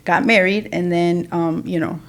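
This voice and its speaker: A woman's voice: lowish voice, steady tone, moderate pace, gravelly. An American woman from Chicago telling her story casually as a podcast guest, informal and repeating phrases for emphasis. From Chicago, United States. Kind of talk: casual conversational interview storytelling.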